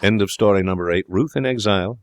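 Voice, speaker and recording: A man's voice: on the low side, quick pace, slight background noise. A man reading a story aloud as an audiobook narrator, in scripted narration.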